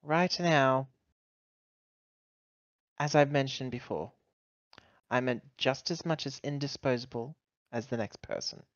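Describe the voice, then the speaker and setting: A woman's voice: very deep voice, a little slow, long pauses, dry recording, dull audio. A woman playing a sardonic, exasperated corporate executive who sighs and speaks pointedly in a tabletop game. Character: exasperated female corporate executive, sardonic, scolding her subordinate.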